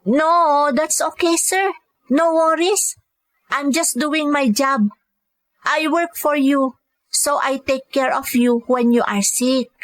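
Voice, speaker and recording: A woman's voice: high-pitched, slow pace, no room sound, low-quality recording. A woman with a Filipino accent playing a patient, dutiful young caregiver working abroad, in earnest, polite scripted drama. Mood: polite, caring. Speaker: voice actor in an audio drama. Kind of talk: scripted drama dialogue and narration.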